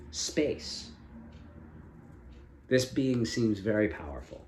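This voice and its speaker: A man improvising a story as a storyteller, speaking in a deliberate, inquisitive and encouraging manner. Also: fairly low pitch, darkish tone. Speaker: storyteller and co-host with a child. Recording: echoey room, faint background noise.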